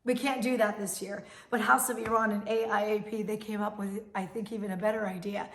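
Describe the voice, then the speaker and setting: A woman emceeing a virtual celebration, giving upbeat, clear instructions for a contest. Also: medium pitch, little pitch movement, brisk, a bit bright. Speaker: MC of a cultural celebration. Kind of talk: event announcement.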